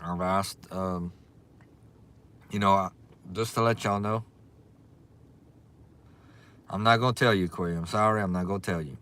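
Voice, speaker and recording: Man, strong Cajun accent, fairly low voice, casual livestream host, relaxed chat, greets viewers. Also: measured pace, pauses often. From Louisiana. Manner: relaxed, chatty, reads and greets viewers' comments.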